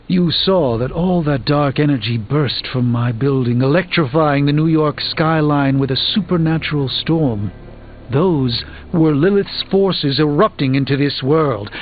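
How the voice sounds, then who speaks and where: An actor playing a proud, grave sorcerer burdened by failure in a video game, speaking in a formal, dramatic, articulate manner. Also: male voice, a little high, phone-quality sound, a little hiss or hum. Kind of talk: video game character dialogue.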